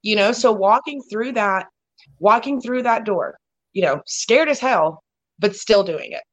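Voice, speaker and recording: A woman's voice: mid-range voice, expressive, unhurried. Rambling woman, filler-heavy chat. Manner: rambling, many "you know" fillers and restarts. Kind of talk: casual conversational chat.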